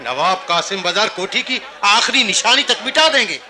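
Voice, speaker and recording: A man's voice: high-pitched, sing-song, moderate pace, over a noisy crowd, very noisy. A man playing a diplomatic Indian courtier in a historical radio drama, speaking earnestly and persuasively in formal Hindustani. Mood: alarmed warning. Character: measured, diplomatic Indian courtier among conspirators.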